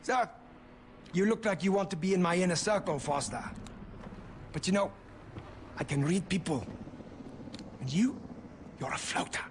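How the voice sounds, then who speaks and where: An actor playing a swaggering, menacing crime boss in a video game, speechifying with theatrical mock geniality. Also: male voice, sits high, moderate pace, long pauses, slight background noise, natural voice. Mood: sly, condescending. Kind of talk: video game cutscene acting. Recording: loud music under the voice.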